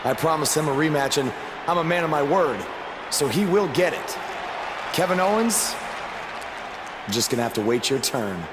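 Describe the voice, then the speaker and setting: A man's voice: fairly high pitch, expressive, normal speed, pauses often, very noisy, close to an everyday voice. A man playing a young, defiant wrestling champion with a wry streak in a video game cutscene. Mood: earnest, declaring. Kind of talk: video game cutscene acting, promo speech.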